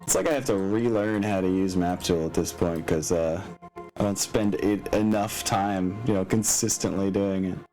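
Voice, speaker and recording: A man's voice: sits low, fairly even intonation, moderate pace, mellow, low-quality recording. A man acting as game master, chatting casually through a tabletop session, with apologetic, self-deprecating asides. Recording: music behind the voice. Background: background music.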